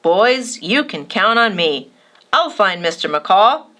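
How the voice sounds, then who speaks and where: A dependable village mayor in a children's storybook, a man voiced by a woman reader. He sounds assured and emphatic, with a bright, unhurried delivery. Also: medium pitch, big pitch swings. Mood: reassuring.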